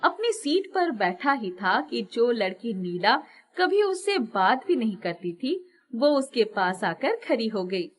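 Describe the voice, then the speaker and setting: A woman narrating a scripted children's moral story with expressive delivery. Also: a little high, unhurried.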